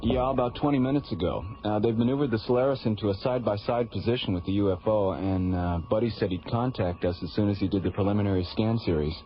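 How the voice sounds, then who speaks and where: An actor playing a hesitant, sheepish space station controller, on a hissy old radio recording. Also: male voice, fairly low pitch, fast talker, hardly any pauses, highs cut off, faint music behind, lightly acted.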